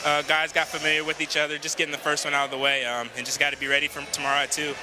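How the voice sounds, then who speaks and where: A man's voice: fairly high pitch, completely flat delivery, very fast, noisy background. A young man basketball player answering postgame questions modestly, with many fillers. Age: young adult. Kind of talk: postgame interview.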